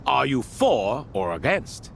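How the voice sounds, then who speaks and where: An actor playing an effusive old sage in a video game, speaking in a flowery, old-fashioned way with dramatic pauses. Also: male voice, medium pitch, big pitch swings, vocal fry, faint music behind, heavily acted. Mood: probing, solemn. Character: theatrical, effusive old male sage spirit. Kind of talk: video game cutscene acting.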